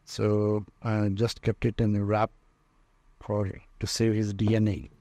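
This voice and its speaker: A low-voiced man with an Indian accent walks through his collection as a tour guide. His delivery is slow and halting, in short phrases. The tone is dark and soft-edged. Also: completely flat delivery, no echo. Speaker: museum owner and radio restorer giving a tour. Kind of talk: unscripted walk-through tour commentary. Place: indoor room lined with shelves of old radios.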